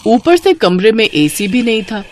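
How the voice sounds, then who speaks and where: A woman tells a scripted moral story for children. Her voice is a little high. The intonation is animated, moving up and down through the narration. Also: normal speed, a little hiss or hum.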